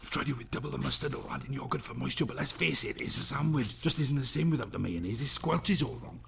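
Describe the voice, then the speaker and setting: A man's voice: mid-range voice, fast, vocal fry. A man playing a chatty, literal-minded, tactless spaceship officer in a sci-fi comedy audio drama, rambling and oblivious.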